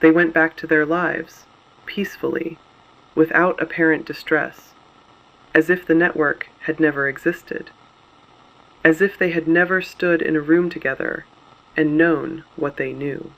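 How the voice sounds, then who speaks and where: Creaky-voiced, solemn resistance broadcaster played by a man. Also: on the high side, steady tone, a bit bright, low-quality recording. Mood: solemn, measured. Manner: measured, solemn, deliberate phrasing. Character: grave adult male resistance broadcaster reporting on fallen cities. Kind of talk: scripted dystopian radio broadcast narration.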